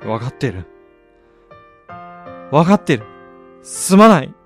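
A broken father in a radio play, with a medium-pitched voice. He sounds heavy and inward-looking, weighed down by regret, while faint music plays behind him. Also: sing-song, exaggerated voice. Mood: ashamed, tearful. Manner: halting, heavy, introspective. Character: remorseful, broken middle-aged father narrating his story. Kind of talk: radio drama narration and acting.

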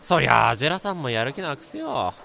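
Man acting excitable young office underling, swinging pitch. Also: quick pace, creaky, slight background noise, full character voice. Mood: indignant, gossipy. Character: excitable junior male office worker, gossipy, anxious. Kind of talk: Japanese radio drama acting.

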